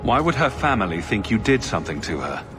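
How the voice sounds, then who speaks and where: Game lead: wry, blunt hero, lowish lively voice, ringing in long reverb. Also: male voice, fast talker, music in the background. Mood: concerned, probing. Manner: probing, dry. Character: wry, blunt male adventurer hero, sardonic. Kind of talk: dramatic video game dialogue.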